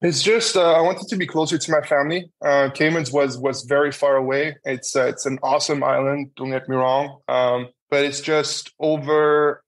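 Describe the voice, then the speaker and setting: A young man who grew up in France and Germany, answering interview questions in a halting way with many fillers. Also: on the high side, fairly even intonation. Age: young adult. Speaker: trader guest.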